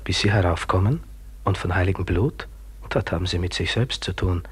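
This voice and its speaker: A man's voice: deep voice, normal speed, slightly rough. An actor playing the grim, taunting inner voice of a trapped man, terse and half-whispered, in a German radio play. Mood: grim, commanding.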